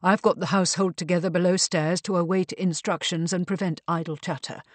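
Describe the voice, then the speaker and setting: A man's voice: high voice, flat delivery, fairly quick, no echo. A woman voicing a small, elderly male butler, polite, composed and deferential, in an audiobook.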